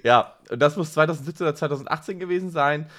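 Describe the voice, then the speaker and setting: A man's voice: on the high side, fast talker, a bit bright. A man chatting casually as a podcast co-host, self-ironic and joking, given to playful exaggeration. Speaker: podcast co-host, social worker and workshop leader. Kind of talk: casual conversational podcast chat.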